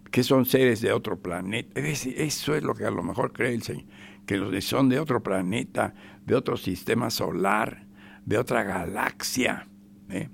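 Gravelly Mexican radio commentator. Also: male voice, on the low side, slight background noise. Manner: emphatic, repetitive, drops to whispers.

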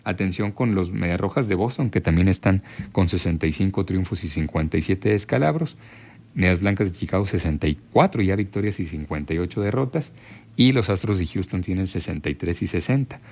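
A Mexican man hosting a radio sports show, running through results and stats in a halting way, often searching for words. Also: low voice, animated, a little mellow. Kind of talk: sports news recap and commentary.

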